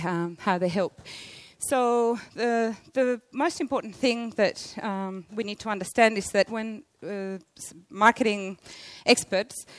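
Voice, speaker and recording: A middle-aged woman with a loud voice giving a conference presentation, hesitant, with many fillers and restarts. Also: mid-range voice, clear, resonant tone, dry recording. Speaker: conference speaker, library interior designer.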